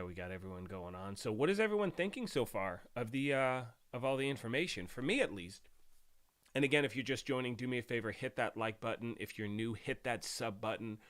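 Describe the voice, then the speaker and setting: A man hosting a gaming live stream, talking casually and greeting viewers by name, a bit raspy while getting over a cold. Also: medium pitch, animated, moderate pace, fairly bright tone. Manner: chatty, greets viewers by name, rambling restarts.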